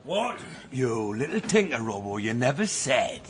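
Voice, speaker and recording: An actor playing a coarse, teasing prison inmate. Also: male voice, medium pitch, sing-song, measured pace, a little hiss or hum. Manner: crude, teasing.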